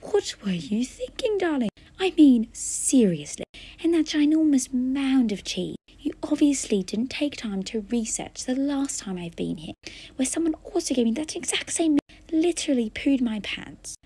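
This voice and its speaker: A woman's voice: sits high, very animated, normal speed, warm tone, husky, pitched above the performer's own voice, heavily acted. A woman voicing a haughty, scathing female food critic who talks dramatically and breathily, calling people darling.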